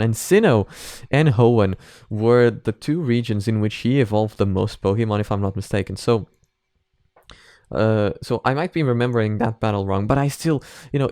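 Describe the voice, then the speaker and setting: A man's voice: fairly low pitch, darkish tone, smooth, no echo, clean studio sound. A young man giving casual, off-the-cuff commentary as a video host, opinionated and emphatic with some mild swearing.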